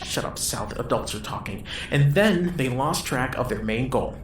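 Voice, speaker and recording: Guy acting fed up skeptic, sarcastic, swingy pitch, echoey room. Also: expressive, moderate pace, a little hiss or hum, close to an everyday voice. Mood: insistent. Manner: dismissive, sardonic. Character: skeptical, exasperated, stubborn male townsman. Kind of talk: audio drama acting.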